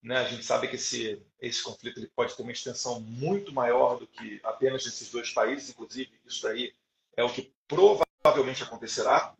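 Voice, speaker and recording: Man with a gravelly voice in a roomy space. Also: medium pitch, fairly even intonation, normal speed, a little hiss or hum. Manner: conversational, many fillers and repeated words. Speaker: live stream host. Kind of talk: live stream interview. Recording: echoey room.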